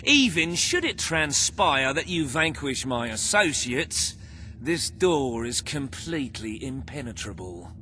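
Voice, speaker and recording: An actor playing a pompous, scheming crime boss in a video game, with florid, over-enunciated, long-winded formal diction. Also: male voice, mid-range voice, very animated, slow pace, sharp tone, a little hiss or hum, heavily acted. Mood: smug, officious. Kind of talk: theatrical video game voice acting.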